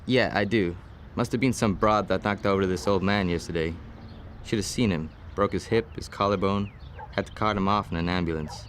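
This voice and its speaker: An actor playing a young police officer who questions his brother in a steady, persistent way, in an amateur short film. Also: sits low, moderate pace, a little hiss or hum, lightly acted. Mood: probing.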